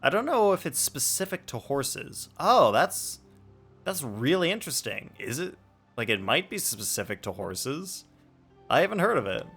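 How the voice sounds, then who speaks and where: A man's voice: on the high side, sing-song, normal speed, gravelly, fairly bright tone, slightly rough, faint music behind. A young man streaming a game, chatting casually and playfully with viewers and joking as he reacts. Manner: playful, jokey, reads chat aloud.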